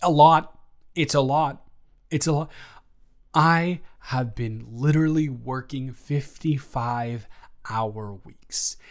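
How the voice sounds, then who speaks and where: A man playing a flustered, evasive young roommate in an improvised audio roleplay, rambling and halting with theatrical exasperation. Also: medium pitch, close to an everyday voice. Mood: dramatic pleading. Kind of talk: improvised intimate roleplay monologue.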